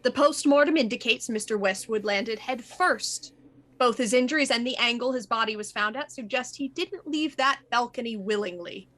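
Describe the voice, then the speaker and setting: An actress playing a shrewd, composed police inspector in a radio murder mystery, deliberate and probing. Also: female voice, a little high, fairly bright tone. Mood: firm.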